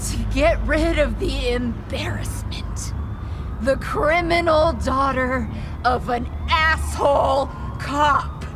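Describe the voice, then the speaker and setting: A woman's voice: high-pitched, noisy background, exaggerated voice. An actress playing a bitter, unhinged young woman killer who screams and sobs through laughter. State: crying. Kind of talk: audio drama acting.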